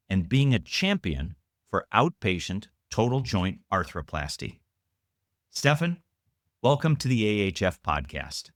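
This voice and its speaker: A man's voice: on the low side, no echo, studio quality. A man hosting a podcast, moving between prepared introductions and conversational interview questions.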